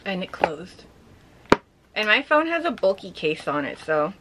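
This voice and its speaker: A young woman chatting casually as she shows off items from her collection, gushing with lots of filler words and a slightly hoarse voice. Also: mid-range voice, sing-song, measured pace, background noise. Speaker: vlogger showing her collection. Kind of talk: casual show-and-tell chat.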